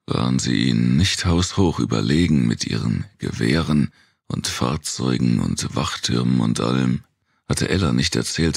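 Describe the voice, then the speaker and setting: A male audiobook narrator with an extremely low voice, full of heavy fry and rasp. He reads dystopian fiction dramatically in a dry, close recording. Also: no room sound.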